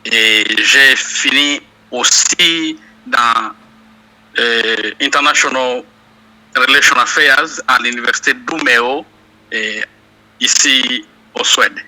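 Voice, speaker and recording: A man answers questions at an unhurried, halting pace, filling gaps with drawn-out hesitations. His voice is very bright and sharp, in a middling register. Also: medium pitch, poor audio. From DR Congo, South Kivu. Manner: slow, hesitant, many fillers. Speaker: interview guest, university lecturer. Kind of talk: interview answers.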